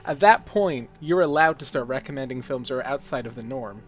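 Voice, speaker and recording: A man co-hosting a casual chat among friends, joking in a deadpan, mock-serious way. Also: mid-range voice, a little fast, faint music behind. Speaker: co-host answering questions.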